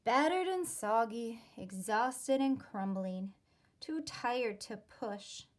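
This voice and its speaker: Lady teacher reading a kids rhyming book, really slow, higher voice, careful and expressive. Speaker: teacher reading a storybook aloud. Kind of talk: expressive children's read-aloud of a rhyming story.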